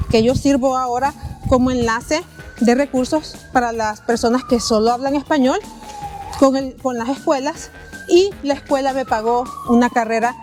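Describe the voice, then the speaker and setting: A Salvadoran woman in her thirties with a Salvadoran Spanish accent, telling her own story in an interview outdoors, earnest and hesitant, with many pauses. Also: high voice. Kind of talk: street interview, personal story. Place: outdoors on a city street.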